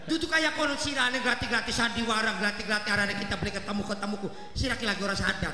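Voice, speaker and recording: A middle-aged man with an Indramayu Javanese accent doing stage comedy banter, loud and teasing, repeating words rapidly into a handheld mic. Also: high-pitched. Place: outdoor village stage. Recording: echoey outdoor PA with music.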